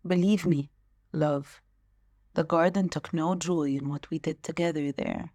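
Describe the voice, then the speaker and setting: A woman reading poetry in a measured, deliberate manner. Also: sits low, steady tone, a little mellow, dry recording.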